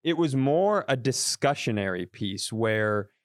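A man's voice: medium pitch, expressive, unhurried, no echo, studio quality. A man telling a rambling story on a podcast, swearing and restarting his sentences as he goes. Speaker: comedian podcast host. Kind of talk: casual storytelling chat.

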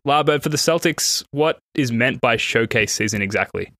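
A man's voice: medium pitch, animated, moderate pace, sharp tone, dry recording, clean studio sound. An Australian man with a slight American tinge, hosting a casual podcast chat in a chatty, self-deprecating way. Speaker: podcast host.

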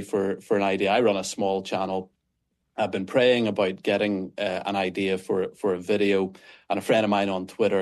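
A man with a Northern Irish accent speaks as a guest pastor in an interview. His voice sits a little low, and he answers earnestly, stumbling over restarts and fillers as he works through his points. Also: darkish tone. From Northern Ireland.

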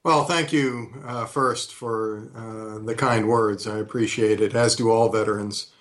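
A man in his fifties from California, a retired army colonel being interviewed, answering in a measured way with frequent hesitant pauses. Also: lowish voice, steady tone, a bit bright.

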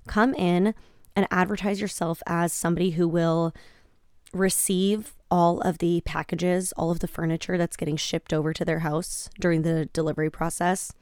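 A woman hosting a podcast solo, chatty and informal, with a slightly raspy, croaky voice, giving advice in an emphatic way. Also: lowish voice, level tone, moderate pace, a little mellow, dry recording, clean studio sound. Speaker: podcast host.

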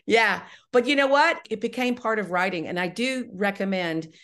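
A woman's voice: medium pitch, normal speed, a bit bright. A woman giving conversational interview answers as a guest writer-director, explaining step by step and often checking in with the listener. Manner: explanatory, often checking with "right?" and "you know".

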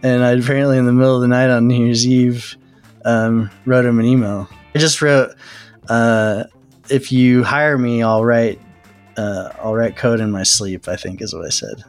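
Relaxed man telling his story. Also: medium pitch, normal speed, soft background music. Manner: relaxed, self-deprecating storyteller. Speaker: startup founder interviewee. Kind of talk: casual storytelling interview.

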